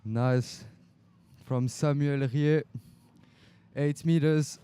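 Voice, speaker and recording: A man's voice: on the high side, steady tone, very slow, mellow, clear, resonant tone. A French man with a French accent faintly tinged with South African, co-commentating casually on a live sports stream. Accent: French, with a slight South African tinge. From France. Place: indoor live stream booth by a beach.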